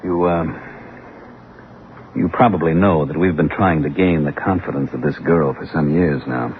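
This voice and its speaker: An actor playing a formal, measured security chief in an old-time radio drama. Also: male voice, rumbling low. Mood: measured, official. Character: calm, official male chief of a security section. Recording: tinny, noisy vintage radio recording.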